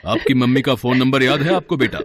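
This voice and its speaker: A man voicing a measured, courteous police inspector in an audio story. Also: medium pitch, over a noisy crowd, lightly acted. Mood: calm, official. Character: calm, official police inspector.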